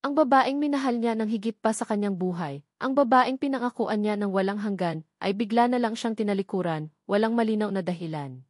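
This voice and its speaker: Female narrator for dramatic romance fiction, mid-pitched, closely dry recording. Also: brisk, no room sound. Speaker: storyteller. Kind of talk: scripted dramatic narration of a Tagalog romance story.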